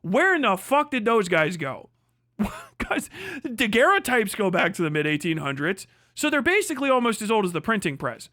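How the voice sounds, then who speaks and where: Male American livestreamer, extremely high-pitched and sharp, profane, emphatic, argumentative delivery. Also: sing-song, moderate pace, dry recording. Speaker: livestream host. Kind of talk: casual opinionated livestream rant.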